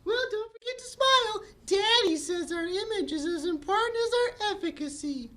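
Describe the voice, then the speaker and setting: A sugary little girl hero, played by a man, pitched extremely high and squeaky. The delivery is bubbly and full of exclamation, like a cute cartoon kid read out at a table read. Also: expressive, moderate pace, bright, forceful tone, full character voice. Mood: sweet, upbeat. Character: cute, excitable little girl superhero with pigtails.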